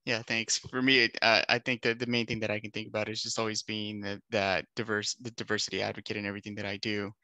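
A man's voice: fairly low pitch, level tone, fast talker, hardly any pauses, pushed and bright, no room sound. A man whose first language is Spanish, with a light Los Angeles Mexican American accent, speaking earnestly as a panelist on a video call. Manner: earnest, reflective. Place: indoors at home.

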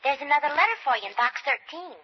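A woman's voice: sits high, animated, quick pace. An actress playing an eager young woman office helper, heard over a phone line. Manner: eager, keen. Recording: muffled 1940s radio broadcast.

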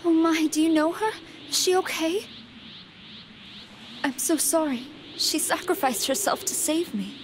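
A woman voicing an anxious, soft-hearted young woman with an imploring, apologetic manner in an anime video game. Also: high voice, measured pace, long pauses, background noise. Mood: worried apology. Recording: loud game music behind.

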